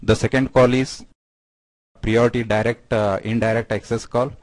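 A man narrating a software product demo step by step in a halting, explanatory way. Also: medium pitch, flat delivery, no room sound.

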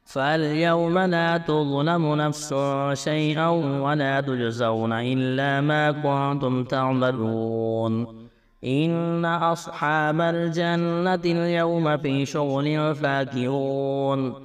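Smooth, slow-chanting male Quran reciter. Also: a little high, level tone. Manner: measured, drawn-out verse endings.

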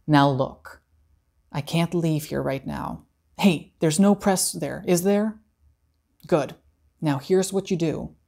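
Brief: a young man playing a frazzled fair official, exasperated and quick on the phone. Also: on the high side, gravelly, darkish tone, heavily acted.

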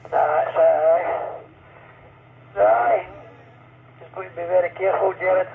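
A man's voice: high voice, slow pace, vocal fry. A Scottish man chatting casually as an amateur radio operator, heard over a two-way radio. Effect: heard through a two-way radio. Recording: narrowband radio transmission with static noise.

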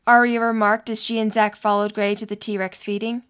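A woman's voice: medium pitch, level tone, moderate pace, fairly bright tone, clear, resonant tone. A woman reading scripted narration for a story.

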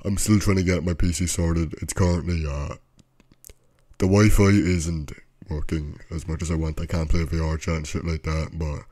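A young man, a gaming content creator, chatting casually in a deadpan, low-key way and swearing freely. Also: normal speed. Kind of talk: casual chat.

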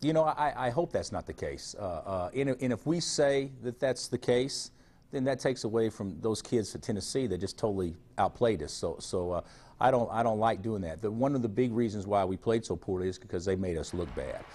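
A man in his forties with a low, husky voice, talking in a plain, frank way as a basketball coach. He often stumbles and repeats words before getting a thought out. He sounds like he is on a lapel mic in a remote studio. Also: lively intonation, fairly quick, a little mellow. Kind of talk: TV interview answers.

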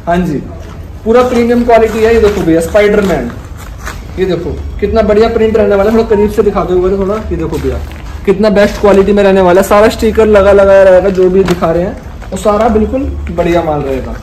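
High-pitched young North Indian salesman, echoey room. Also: quick pace, darkish tone. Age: 20s. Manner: repetitive salesman's patter, addressing viewers as friends. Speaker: wholesale clothing seller and vlogger. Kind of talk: informal sales pitch to camera. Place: large indoor warehouse full of clothing bales. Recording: echoey room with clear background noise.

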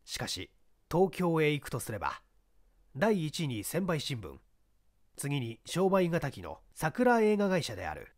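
抑揚豊かな男性の朗読. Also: male voice, fairly high pitch, huge pitch swings, a little slow, a little mellow, no room sound, studio quality. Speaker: audiobook narrator. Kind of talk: dramatic scripted narration of a mystery story.

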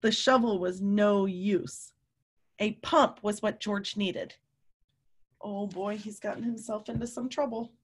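A woman in her fifties reading a story aloud to young children, with animated, drawn-out emphasis and questions to her listeners. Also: mid-range voice, breathy edge. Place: room at home.

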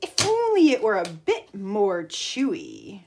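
Fluttery, airy emu for a storybook read-aloud, voiced by a woman, slow. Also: medium pitch, wildly swooping pitch, slight background noise, full character voice. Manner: airy and sighing. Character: graceful fluttery female emu.

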